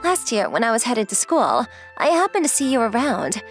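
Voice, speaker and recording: Casting: a woman plays a girlish young manga-dub heroine, high-pitched and lively, relaxed, affectionate and playfully teasing. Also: moderate pace, soft background music. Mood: earnest. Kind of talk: acted anime-style dialogue.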